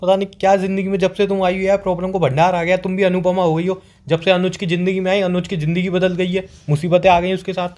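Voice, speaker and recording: A man's voice: high voice, flat delivery, fast talker, few pauses, a little mellow. A young man playing a boyfriend on a staged couple phone call, joking and teasing.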